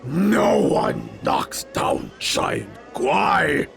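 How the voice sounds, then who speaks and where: A man playing a boastful, bullying giant street fighter in an audio drama, taunting and gravelly. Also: fairly high pitch, wildly swooping pitch, extremely slow, fairly bright tone, faint music behind, exaggerated voice. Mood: angry, indignant. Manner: taunting, speaks of himself in third person.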